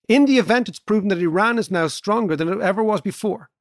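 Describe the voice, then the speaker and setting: A man's voice: high voice, quick pace, no echo, clean studio sound. A man hosting a podcast interview, asking long, conversational questions. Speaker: podcast host.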